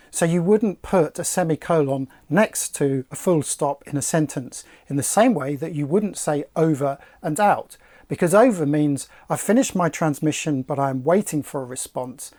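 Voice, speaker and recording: A middle-aged man presenting to camera, explaining things in a measured, articulate, slightly formal way. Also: on the high side, a little mellow. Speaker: sailing channel presenter.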